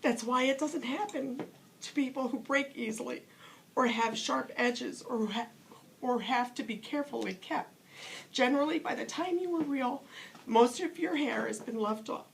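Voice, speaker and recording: A woman voicing a wise, kindly, truthful old toy horse from a bedtime storybook, explaining things gently and patiently. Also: fairly high pitch, vocal fry, a little hiss or hum, pitched above the performer's own voice, rough recording. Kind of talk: bedtime storybook character reading.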